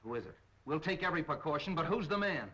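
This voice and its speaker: Firm police captain, lo-fi. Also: male voice, on the high side, lively intonation, fast talker, bright, forceful tone. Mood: reassuring, insistent. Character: firm, hard-boiled male police captain interrogating a suspect. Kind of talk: 1930s mystery film acting. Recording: dull, lo-fi 1930s film soundtrack.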